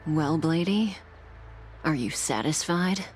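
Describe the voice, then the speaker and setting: A woman's voice: low-pitched, expressive, slow, faint music behind. A woman playing a cool, self-assured, commanding figure with a teasing manner in a video game.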